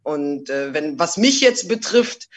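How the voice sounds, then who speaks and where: A woman's voice: sits low, takes it slowly. Woman rapper, interview guest; laid-back, slangy, code-switches. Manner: relaxed, slangy, mixes in English phrases. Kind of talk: casual interview chat.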